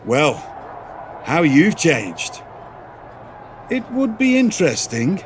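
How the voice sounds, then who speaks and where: A formal, measured general manager picks his words with care, his voice a touch higher than average. Faint traffic murmurs in the background of this game scene. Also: big pitch swings. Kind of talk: video game voice acting.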